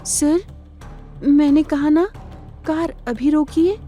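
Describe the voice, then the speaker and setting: Lady doing earnest young woman in audio drama, higher mellow voice, emphatic and tender. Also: slow pace, soft background music. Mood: insistent. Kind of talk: Hindi audio drama character acting.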